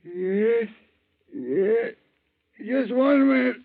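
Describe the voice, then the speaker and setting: An actor playing a shifty, cowardly bait peddler and blackmailer, wheedling and slangy, in a 1940s radio drama. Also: male voice, very high voice, drawn-out words, heavily acted. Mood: wary. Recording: dull 1940s radio recording.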